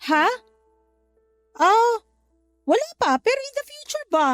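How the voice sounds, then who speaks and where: A woman's voice: very high voice, sing-song, slow, fairly bright tone, no echo, faint music behind, exaggerated voice. An actress playing a feisty, exasperated young single mother in a radio drama, sassy and outspoken. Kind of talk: Tagalog radio drama acting.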